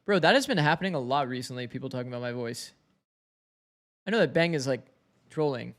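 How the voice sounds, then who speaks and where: A man streaming an esports match, breaking down plays in casual chat with his viewers. His voice is slightly raspy from heavy streaming, and he talks on, thinking aloud. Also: medium pitch, animated, fast talker, fairly bright tone.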